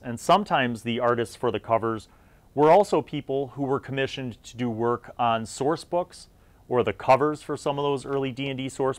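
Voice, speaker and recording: A man's voice: mid-range voice, dry recording. A middle-aged man hosting a video series, talking to viewers in a conversational, emphatic way with trailing asides. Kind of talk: retrospective review talk to viewers.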